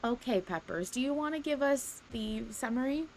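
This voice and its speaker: A woman's voice: high voice, lively intonation, normal speed, darkish tone, poor audio. A woman co-hosting a podcast, chatting casually in a dry, teasing way. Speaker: podcast co-host. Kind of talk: casual chat.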